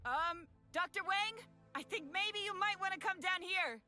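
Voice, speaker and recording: A woman's voice: extremely high pitch, measured pace, sharp tone, soft background music, voice pushed up, heavily acted. Woman reading as a cautious young male astronomer. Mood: uneasy. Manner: worried, hesitant pleading.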